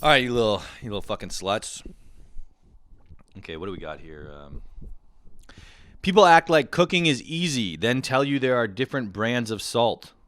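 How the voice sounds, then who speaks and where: A man's voice: medium pitch, big pitch swings, moderate pace, long pauses, fairly bright tone. A man hosting a comedy podcast, reading reviews aloud with crude, sarcastic, mocking commentary. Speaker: podcast host.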